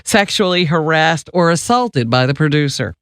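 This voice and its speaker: A woman working as a radio co-host, reading the news deliberately and adding wry, emphatic asides in casual banter. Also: low-pitched, expressive, no room sound, studio quality.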